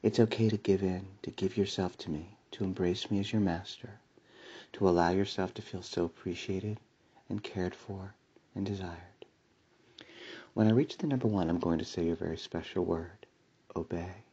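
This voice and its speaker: A man delivering a slow hypnotic induction in a soft, soothing voice with breathy whispers and a repetitive commanding cadence. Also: low-pitched, fairly even intonation, highs cut off. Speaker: hypnosis audio creator.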